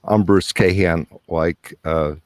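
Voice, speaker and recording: Very deep-voiced man on a video call. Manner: relaxed, halting with repeated words. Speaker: lawyer and lecturer. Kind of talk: conference presentation. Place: outdoors under a pergola.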